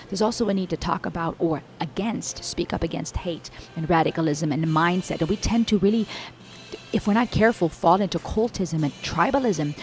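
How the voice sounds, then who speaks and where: A woman's voice: lowish voice, a little mellow. A young woman with an American English accent giving earnest, reflective reaction commentary to a song and speaking directly to her viewers. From Germany and the US, Cuban-American family. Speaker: music reaction YouTuber.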